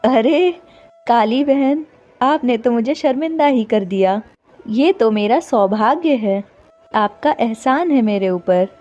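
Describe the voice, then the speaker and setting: A woman's voice: fairly high pitch, lively intonation, slow, a little mellow, muffled recording, soft background music, voice pushed up. A woman voicing a humble, doting pigeon father in a cartoon story, tender and earnest. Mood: polite, grateful.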